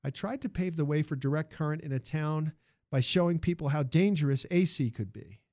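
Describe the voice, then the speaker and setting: An earnest, deferential young inventor, voiced by a male narrator. He speaks politely and with restraint in an audiobook story, his higher voice recorded close and dry. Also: brisk, darkish tone, no room sound, close to an everyday voice. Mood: earnest, matter-of-fact. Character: deferential but ambitious young male inventor seeking work.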